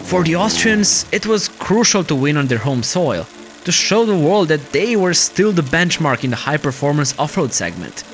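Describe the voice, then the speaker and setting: A man's voice: fairly high pitch, big pitch swings, moderate pace. A man reading scripted narration as a documentary-style narrator. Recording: music bed under the narration. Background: clear background music.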